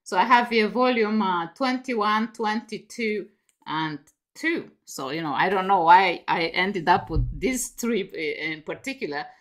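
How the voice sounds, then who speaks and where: A woman's voice: medium pitch, lively intonation, a little slow, fairly bright tone. A woman, a guest on a video call, talking animatedly with lots of laughter and "you know". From Romania. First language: Romanian. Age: 50s. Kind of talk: casual video call interview. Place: home room with bookshelves.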